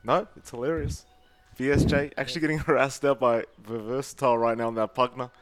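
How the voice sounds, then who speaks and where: A man with an Australian accent, casting a competitive game match with brisk play-by-play and playful teasing of his co-caster. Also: medium pitch, fairly even intonation, noisy background. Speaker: esports caster.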